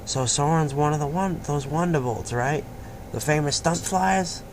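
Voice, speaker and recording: An awkward young pony fellow from a cartoon fan story, acted out by a man. He is polite and hesitant, with a lightly raised pitch. Clear noise sits behind him. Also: normal speed, natural voice. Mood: curious. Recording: noisy amateur home recording.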